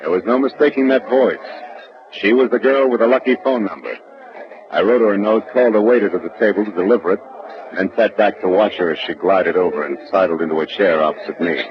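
An actor playing a tough, wry private detective in clipped, wisecracking old radio noir style. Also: male voice, deep voice, fast talker, natural voice. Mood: dry, wry. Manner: hard-boiled, wisecracking, clipped. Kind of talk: 1940s-50s radio noir acting and first-person narration. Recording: muffled old radio recording, music behind.

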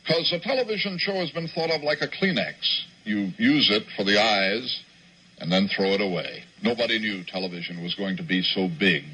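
A man's voice: lowish voice, animated, normal speed, sharp tone, breathy edge, low-quality recording. A man delivering scripted narration as a television news correspondent.

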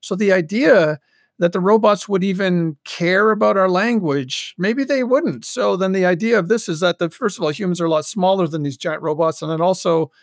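A man being interviewed as a show creator, chatting casually in a halting way with wry, humorous asides. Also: sits high, no room sound, studio quality. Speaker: podcast guest, audio drama creator. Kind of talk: casual animated interview chat.

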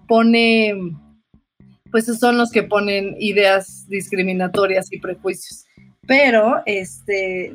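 A woman with a Mexican accent hosting an interview podcast, talking casually with many fillers and restarts. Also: medium pitch. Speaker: podcast host.

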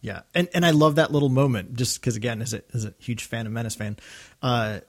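A man's voice: mid-range voice, fairly quick. A man with a mid-range voice chats as a podcast co-host. He is enthusiastic and loose, rambling as a fan does through his thoughts. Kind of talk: casual fan discussion.

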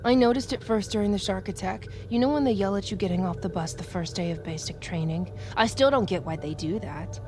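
A woman's voice: mid-range voice, brisk, hardly any pauses, darkish tone, faint music behind, close to an everyday voice. An actress playing a young female Army recruit, defiant, sardonic and haunted, in a naturalistic audio drama. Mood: earnest, jaded. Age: young adult.

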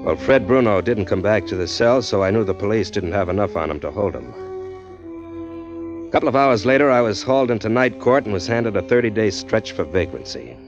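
An actor playing a streetwise, hardboiled drifter who narrates in a tough, dry, wisecracking way, in 1940s radio acting. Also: male voice, fairly low pitch, animated, a little fast, lightly acted. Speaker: narrator and lead. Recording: dull lo-fi 1940s radio recording, faint music.

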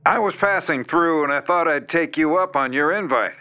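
A man's voice: fairly high pitch, moderate pace, bright, forceful tone, clear, resonant tone. A man playing a self-assured horror author in an audio drama, chummy and breezy with a faintly sinister, controlling edge. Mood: smooth, persuasive.

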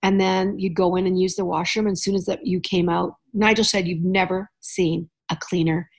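Chatty woman host telling animated stories. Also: on the low side, moderate pace. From Canada. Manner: chatty storyteller, animated asides and laughs. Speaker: podcast host. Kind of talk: casual chat.

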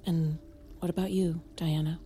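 A couples therapist in an audio drama, acted by a woman. She has a lowish voice and a measured, questioning manner. Her delivery is natural and probing, with faint music underneath. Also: flat delivery, warm tone, close to an everyday voice.

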